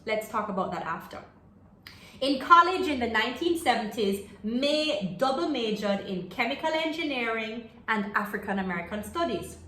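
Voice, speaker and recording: High-voiced woman reading aloud, echoey room. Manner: expressive, addressing young listeners with questions. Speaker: storyteller reading aloud for children. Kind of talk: children's book read-aloud with asides and questions. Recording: roomy, echoey home-style video recording.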